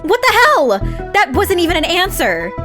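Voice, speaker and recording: A woman playing a flustered, anxious game show contestant in an audio drama, panicky and halting. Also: very high voice, wildly swooping pitch, full character voice. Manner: stammering, panicky. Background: music.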